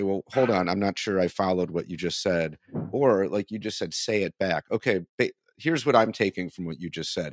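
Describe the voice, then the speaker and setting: Male interview host, deep voice, dry close recording, informal and affirming in conversation. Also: dry recording.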